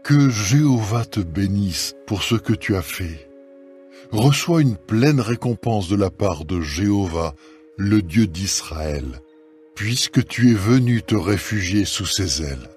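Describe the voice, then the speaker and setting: A man plays a wealthy older landowner in a Bible audio drama, kindly but used to giving orders. His voice sits fairly low, with soft music behind it. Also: lively intonation, slow pace. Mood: kindly, reassuring. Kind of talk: dramatised scripture reading.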